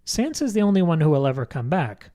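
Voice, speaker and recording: Male podcast co-host, mellow tone, articulate and explanatory, breaking down a book. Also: a little high, big pitch swings, fast talker. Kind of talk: analytical book discussion.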